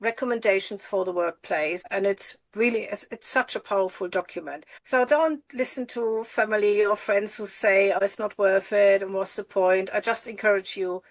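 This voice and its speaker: A woman's voice: medium pitch, moderate pace, hardly any pauses, dry recording. A middle-aged woman from Germany, giving earnest advice as a podcast guest. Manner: encouraging, emphatic, repeats herself for stress.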